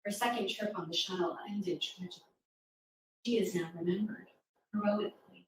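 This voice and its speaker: A woman's voice: mid-range voice, moderate pace. A woman reading rhyming verse aloud to young children at a live storytime, with an encouraging, interactive manner. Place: live reading event in a roomy space. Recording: echoey room, distant mic, faint noise.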